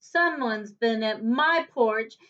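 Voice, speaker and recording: A woman voicing a tiny, plaintive young male bear cub with a little squeaky voice in a children's storybook. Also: slow, fairly bright tone, distant mic. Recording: dull, lo-fi recording.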